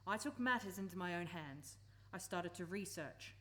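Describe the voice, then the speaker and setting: A woman's voice: fairly low pitch, lively intonation, moderate pace, pushed and bright. A woman acting an earnest, persuasive campaigner and former country teacher in a live radio play. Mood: earnest, sorrowful.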